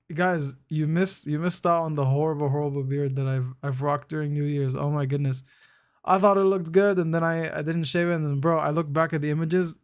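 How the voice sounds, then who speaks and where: A man's voice: on the high side, a little mellow, clear, resonant tone. Laid-back young man chatting on stream. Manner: laid-back, slangy, calls chatters bro; thinks aloud with frequent restarts. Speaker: live streamer. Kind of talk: casual live-stream chat answering viewers.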